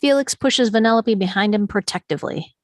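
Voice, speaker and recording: A woman's voice: medium pitch, expressive, a little fast, no echo, clean studio sound. A woman reading stage directions as scripted narration at a table read, in a dramatic storytelling manner.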